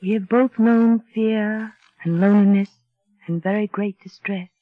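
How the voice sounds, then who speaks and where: An actress playing a gentle, anxious young wife in an old radio drama, earnest and wistful in her delivery. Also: mid-range voice, fairly even intonation, measured pace, natural voice. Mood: tender, reflective. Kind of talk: 1930s radio drama narration and acting. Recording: muffled old radio broadcast, music behind.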